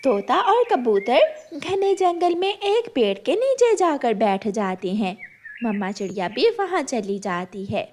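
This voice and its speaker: A woman's voice: fairly high pitch, sing-song, unhurried, darkish tone, slight background noise. A woman narrating a scripted children's story.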